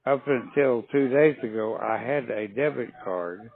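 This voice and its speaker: A man's voice: mid-range voice, a little slow, vocal fry. An older man chatting on a phone call, telling folksy, rambling stories and answering questions. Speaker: caller on a sales call. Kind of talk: casual phone conversation. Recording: narrow phone line.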